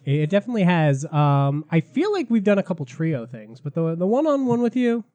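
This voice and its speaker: A man hosting a casual gaming podcast, a little sick and raspy, chatty and loose, laughing often. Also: sits high, sing-song, fairly quick, dark tone. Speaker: podcast host.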